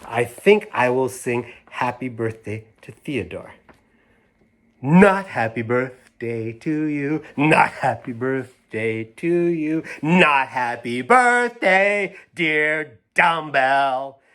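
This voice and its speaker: A woman's voice: low voice, animated, extremely slow, a bit bright, full character voice. Storybook role: sulky drama-queen little girl, voiced by a man, haughty and emphatic, every grievance precisely stated. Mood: spiteful. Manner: pompous, emphatic, over-precise declarations.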